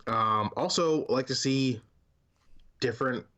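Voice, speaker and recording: A man's voice: mid-range voice, slow pace, a bit bright. A man co-hosting a podcast, chatting casually about movies in an enthusiastic, informal and emphatic way. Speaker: podcast co-host.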